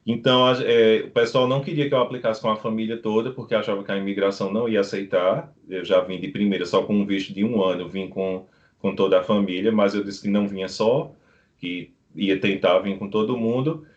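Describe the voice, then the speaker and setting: Middle-aged Brazilian man, guest in an interview, unhurried talker, dull video-call sound. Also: lowish voice, fairly even intonation. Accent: Brazilian Portuguese. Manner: unhurried, rambling anecdotes. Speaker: guest, beekeeper living abroad. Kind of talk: casual interview storytelling.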